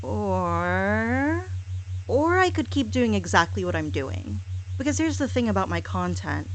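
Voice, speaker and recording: A woman delivering a scripted satirical apology monologue, sarcastic and mock-contrite, with deliberate dramatic pauses and emphatic repetition. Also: medium pitch, very animated. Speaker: hypnosis audio creator.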